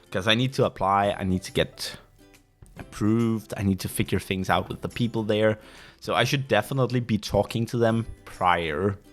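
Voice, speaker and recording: A young Danish man hosting a casual solo podcast, pausing often and restarting sentences as he looks for the right word. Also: on the low side, fairly bright tone. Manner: pauses often to search for words, many restarts. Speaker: podcast host. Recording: faint background music.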